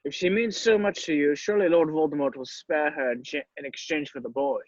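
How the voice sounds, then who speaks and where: A man playing a grave, shrewd old wizard headmaster in a screenplay table read, speaking in a measured, deliberate way. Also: a little high, no echo, lo-fi sound, natural voice. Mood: cold contempt.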